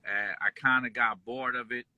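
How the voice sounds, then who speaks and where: A man born in Kuwait and raised in Detroit, telling his life story as an interview guest in a relaxed, rambling way full of fillers. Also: medium pitch, normal speed, no echo, lo-fi sound. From born in Kuwait, raised in Detroit and New Orleans. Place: at home, just pulled into driveway.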